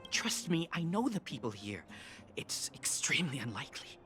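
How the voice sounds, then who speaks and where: A man playing an anxious, unsure young settlement resident in a video game, speaking haltingly and earnestly. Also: fairly high pitch, big pitch swings, sharp tone, faint music behind. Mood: puzzled, earnest.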